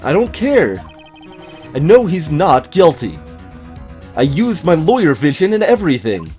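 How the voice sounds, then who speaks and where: A man's voice: a little high, wildly swooping pitch, measured pace, darkish tone, faint music behind. A man voicing a stubborn, loyal young male defense lawyer in a video game, plain and earnest.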